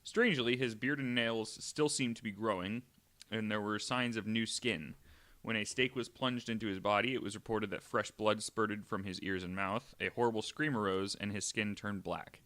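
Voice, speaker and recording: A man's voice: lowish voice, fairly even intonation, moderate pace, fairly bright tone. A young man co-hosting a podcast, reading a spooky article aloud with jokey asides. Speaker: guest co-host.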